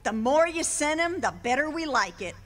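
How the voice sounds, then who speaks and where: A woman's voice: high-pitched, lively intonation, moderate pace, fairly bright tone. A woman playing a brash, pushy sister. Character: brash wisecracking sister selling cards. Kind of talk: 1940s radio acting. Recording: live stage in a hall.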